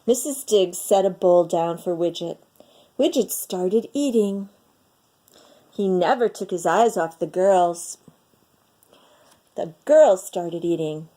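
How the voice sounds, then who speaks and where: A woman reading a picture book aloud in an expressive, dramatic storytelling manner for children. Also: medium pitch.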